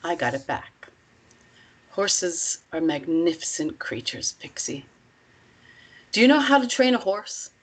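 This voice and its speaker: A woman playing a commanding, measured female cult leader in a screenplay reading, instructive and deliberate. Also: on the low side, big pitch swings, slightly rough, full character voice, poor audio.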